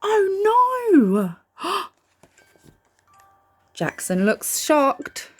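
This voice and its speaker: A woman reads a picture book aloud expressively, gently reassuring young listeners and putting questions to them. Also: very high-pitched, huge pitch swings, very slow, faint music behind. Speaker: storybook reader for young children.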